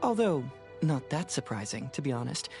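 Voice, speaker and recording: A man's voice: medium pitch, sing-song, normal speed, creaky, warm tone. A sly young male detective in a video game, breezy and self-assured. His teasing delivery moves freely up and down over faint music. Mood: teasing, smug.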